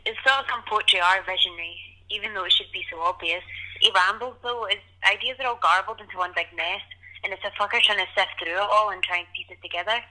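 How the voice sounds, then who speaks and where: An enthusiastic young poet in an audio drama. Her voice is medium in pitch with a crisp, very bright edge, through a telephone filter. She talks informally and irreverently. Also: fast, poor audio. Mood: defensive, musing. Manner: keen, informal, sweary. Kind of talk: audio play dialogue.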